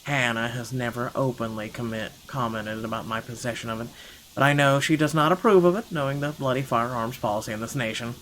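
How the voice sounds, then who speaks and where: A man voices a wealthy, short-tempered gentleman narrating his own thoughts in a horror visual novel. The delivery is dramatic and indignant at a medium pitch, over clearly audible background ambience. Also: a little fast, slight background noise, lightly acted, poor audio. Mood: annoyed, wry. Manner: indignant, expressive, stumbles over the script. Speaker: gaming channel host.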